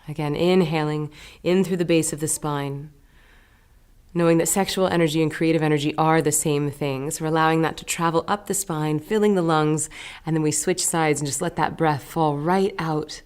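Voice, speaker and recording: A woman leading a guided meditation as a teacher, giving gentle, unhurried instructions with pauses between phrases. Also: deep voice, steady tone, clear, resonant tone.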